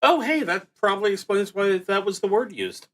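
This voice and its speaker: A man hosting a solo tabletop roleplaying stream, casually explaining rules and haltingly thinking aloud as he reads. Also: sits high, animated, a bit bright.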